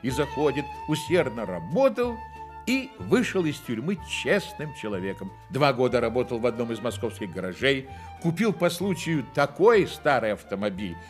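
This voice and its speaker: An actor playing a wry storyteller, narrating a satirical novel for a radio play in a measured literary manner. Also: male voice, medium pitch, very animated, faint music behind, natural voice. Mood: wry narration.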